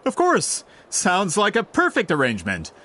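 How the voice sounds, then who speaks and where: A man's voice: high voice, huge pitch swings, normal speed, pushed and bright, exaggerated voice. A man voicing a courteous, eager and persistent merchant in a game cutscene. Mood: polite. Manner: courteous, eager, slightly awkward. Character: polite eager male merchant, brisk and persistent.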